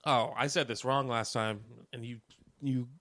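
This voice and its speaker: A man's voice: medium pitch, fairly even intonation, normal speed, pushed and bright, dry recording, clean studio sound. A man in his thirties co-hosting a community radio show, chatting informally and reading out local events. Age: 30s. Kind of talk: casual chat and event announcements.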